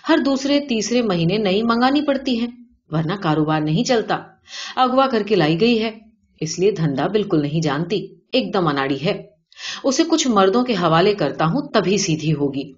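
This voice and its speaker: Female reader as smug, callous middle-aged businessman, matter-of-fact bragging in a storybook, mid pitch, dull recording. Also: expressive, lightly acted. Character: boastful, self-satisfied middle-aged businessman with a callous streak. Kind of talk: character dialogue in audiobook reading. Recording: dull, lo-fi sound.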